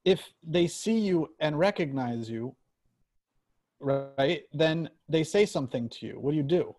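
Man playing hesitant, higher-voiced trainee agent. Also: animated, measured pace, darkish tone. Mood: anxious questioning. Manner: hesitant, questioning, stammering. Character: eager, unsure young male trainee agent. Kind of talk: screenplay table reading.

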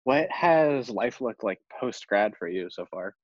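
A man's voice: lowish voice, lively intonation, measured pace, no echo. Young american guy hosting an interview podcast, dry room, kinda dull lo-fi audio. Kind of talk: scripted introduction and interview questions.

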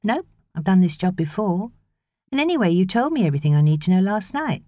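A woman's voice: medium pitch, big pitch swings, brisk, warm tone, no room sound, voice pushed up, close to an everyday voice. A British woman voicing a chirpy, slangy sixteen-year-old babysitter girl in an audiobook. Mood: cheerful, confident.

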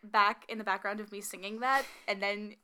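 A young woman co-hosting a casual podcast, chatty and animated with frequent fillers and laughs. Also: medium pitch, normal speed, slight background noise. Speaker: podcast co-host. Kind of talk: casual chat.

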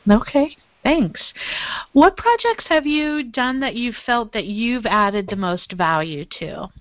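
A young woman studying museum studies, politely asking prepared interview questions with some halting restarts. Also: a little high, animated, dry recording, muffled recording. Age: young adult.

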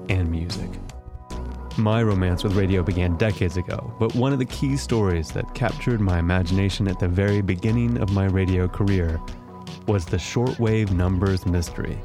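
A man hosting a radio show, narrating from a script in an intimate, measured way. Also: deep voice. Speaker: podcast and radio host. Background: music.

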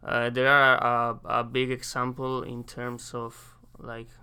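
A young man from Spain with a Spanish accent, an exchange student answering interview questions casually and haltingly, pausing to search for English words. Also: medium pitch, completely flat delivery, sharp tone, smooth. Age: young adult.

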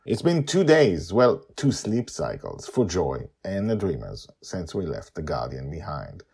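A man hosting a story podcast, narrating in an animated, theatrical and emphatic way. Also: sits low, measured pace, slightly rough.

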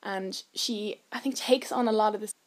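A woman's voice: mid-range voice, steady tone, normal speed, poor audio. A young adult woman with an Irish accent, chatting casually as an actress being interviewed. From Ireland.

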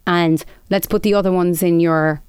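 Smooth-voiced young woman chatting. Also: lowish voice, steady tone, normal speed, darkish tone, no room sound, clean studio sound. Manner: chatty, laughs easily. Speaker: podcast co-host. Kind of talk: casual chat.

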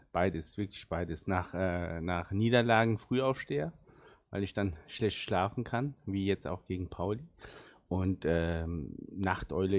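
A middle-aged man from Germany whose first language is Arabic, a football sporting director giving thoughtful, unhurried answers in a casual interview. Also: sits low.

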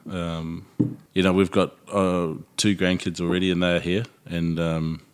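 An older man from New Zealand, talking in a relaxed podcast chat, hesitant with many fillers and restarts. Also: low voice, measured pace, clear, resonant tone.

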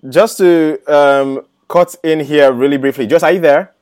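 A man with a Nigerian accent hosting an online class, talking excitedly with fillers and restarts. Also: a little high, a little slow, smooth. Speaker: host. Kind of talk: casual introduction.

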